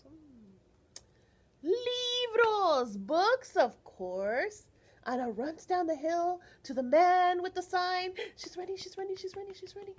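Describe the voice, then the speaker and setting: A woman reading a picture book aloud to young children as a librarian, animated and teacherly, often pausing to put questions to her listeners. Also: very high-pitched.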